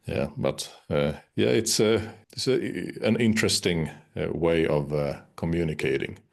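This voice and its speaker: A man whose first language is Finnish answers interview questions in English. His voice sits extremely low, and he pauses often with uhs and restarts. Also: creaky. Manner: hesitant, many uhs and restarts. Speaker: interviewed musician. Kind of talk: casual interview talk.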